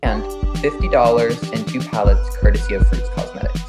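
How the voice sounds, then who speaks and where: A man hosts and judges a makeup contest. He is upbeat and chatty. Music plays clearly behind his voice. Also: mid-range voice, fairly quick. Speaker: judge and host. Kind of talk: hosting a makeup competition.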